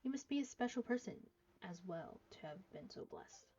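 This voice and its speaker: A woman's voice: on the high side, big pitch swings, moderate pace, darkish tone, slightly rough, dull audio, soft background music. A woman live streaming a game, reading dialogue aloud and reacting, sometimes stumbling over the words.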